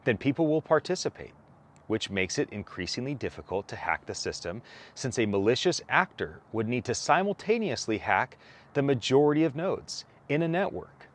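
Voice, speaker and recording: A man reading a formal document aloud as scripted narration, enunciating deliberately. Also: medium pitch, big pitch swings.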